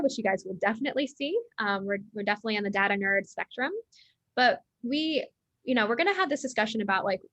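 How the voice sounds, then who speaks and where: A woman's voice: medium pitch, fairly bright tone, dry recording. An American woman hosting an online webinar as an education company head, upbeat and chatty with frequent fillers, on a video call. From United States.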